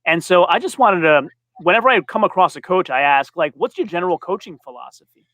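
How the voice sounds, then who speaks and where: A man's voice: high-pitched, expressive, quick pace, pushed and bright, no echo, highs cut off. A man hosting a live-stream interview, friendly and conversational. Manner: friendly, conversational, laughs easily. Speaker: interview host.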